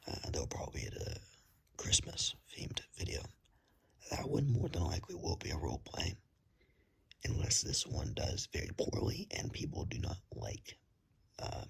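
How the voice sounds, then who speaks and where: Man with a low, husky whisper, chatting casually to ASMR viewers in an apologetic manner, on an iPhone mic. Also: fairly bright tone. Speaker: ASMR channel creator. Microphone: phone, no external microphone. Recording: iPhone built-in microphone.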